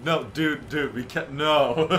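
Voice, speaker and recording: A man's voice: fairly high pitch, very slow, a bit bright, slight background noise. A man co-hosting a gaming channel, joking and ranting in casual banter, laughing often.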